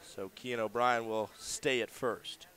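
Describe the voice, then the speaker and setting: A man doing live radio play-by-play commentary of a baseball game, in a measured delivery that lifts for the action. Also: mid-range voice, steady tone, sharp tone.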